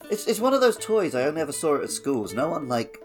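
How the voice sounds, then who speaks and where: A man's voice: a little high, very animated, quick pace. A man co-hosting a podcast in casual, conversational chat. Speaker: podcast co-host. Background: music with plucked strings.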